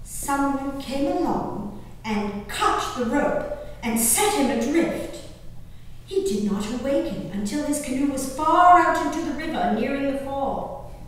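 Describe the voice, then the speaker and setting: A woman performing a genteel, impassioned colonial-era lady diarist on stage, declaiming her journal with deliberate dramatic pauses. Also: high voice, lively intonation. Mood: sorrowful. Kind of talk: theatrical dramatic reading of historical diaries. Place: live stage performance in a reverberant hall. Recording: very echoey hall from far off, noisy.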